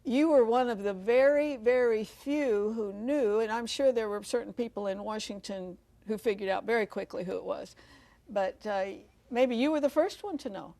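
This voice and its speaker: An older woman hosts a television talk show and interviews her guest. Her voice sits fairly high, and she keeps the guest going with frequent affirmations. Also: normal speed, dark tone.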